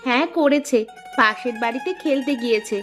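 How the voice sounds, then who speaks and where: Woman acting a high-voiced village wife. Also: a little fast, a bit bright. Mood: homely. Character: caring, practical village wife and mother. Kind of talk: cartoon voice acting. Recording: music behind the voice.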